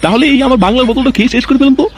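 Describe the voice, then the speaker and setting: A man's voice: very high-pitched, very fast. A man voicing a sly, lecherous, boastful schemer in a crude comedy cartoon, talking in a conspiratorial way. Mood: sly, wheedling. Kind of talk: amateur comedy cartoon dub. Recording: faint noise behind the voice.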